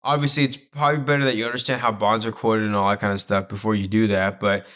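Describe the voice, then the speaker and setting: A man's voice: lowish voice, flat delivery, fast, fairly bright tone. A man co-hosting a podcast, explaining in casual chat with an informal, stop-start delivery that often repeats itself. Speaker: podcast co-host.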